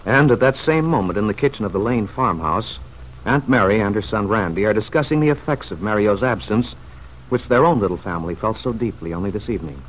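A man narrating a radio serial in a measured, formal, 1940s storytelling style. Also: on the low side, animated. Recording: dull, noisy vintage radio recording.

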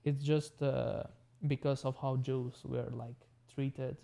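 A man with an Albanian accent talks casually as an interview guest. His voice is soft-edged and dark, with plenty of gravelly fry, and he often restarts words while explaining. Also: medium pitch, level tone, slow pace. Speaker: podcast guest, tech business owner.

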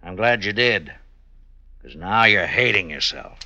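An actor playing a bold, goading American sheriff in an old-time radio western, with a folksy, insistent way of talking. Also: male voice, deep voice, animated, a little slow, bright, forceful tone, full character voice. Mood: scornful, goading. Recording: dull vintage radio recording, faint music.

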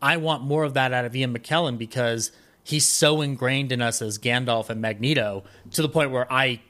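American man, medium pitch, casual podcast guest, rambling, enthusiastic, film-buff chat. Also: normal speed, fairly bright tone. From Colorado, United States. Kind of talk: casual interview chat about films and his life.

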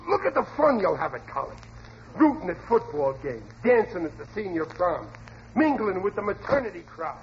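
High-voiced blustering radio dad. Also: male voice, sing-song, moderate pace. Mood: indignant, blustering. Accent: American. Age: middle-aged. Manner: stammering, repeating himself, ungrammatical. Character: blustering, uneducated, well-meaning working-class family father. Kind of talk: 1940s radio comedy acting. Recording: muffled, noisy old radio recording.

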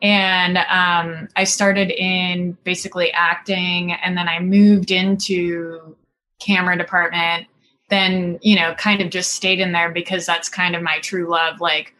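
A woman's voice: on the low side, level tone, measured pace, sharp tone, poor audio. Chatty woman director from Singapore. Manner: chatty, full of "like" and "you know" fillers, laughs often. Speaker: film director and cinematographer guest. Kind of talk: casual interview chat.

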